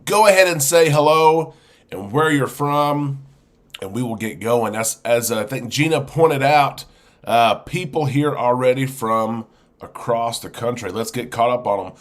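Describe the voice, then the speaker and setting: A man's voice: mid-range voice, a little slow. Congested man hosting a live show. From United States. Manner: friendly, chatty, sniffling. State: congested with a chest cold. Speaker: host. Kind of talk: live-stream casual chat, greeting viewers.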